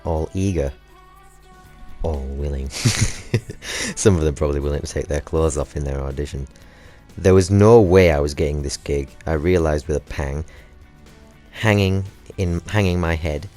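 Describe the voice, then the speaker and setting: A man's voice: extremely low pitch, measured pace, pauses often, darkish tone, soft background music. A British man hosting a casual comedic story reading, with cheeky, sweary asides. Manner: sweary, cheeky, often breaking into laughter. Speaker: host of a gaming channel reading stories aloud.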